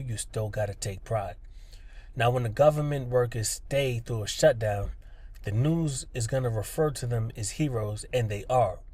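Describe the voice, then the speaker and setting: Husky-voiced man giving a motivational talk. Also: medium pitch, darkish tone. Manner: earnest, plainspoken, emphatic, addresses listener directly. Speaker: podcast host, freelancer. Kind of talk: motivational podcast monologue, conversational.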